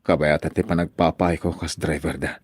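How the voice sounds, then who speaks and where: A man's voice: very deep voice, steady tone, moderate pace, no room sound. A man playing an earnest, pleading father searching for his lost son in an audio drama. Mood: subdued. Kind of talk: amateur audio drama acting.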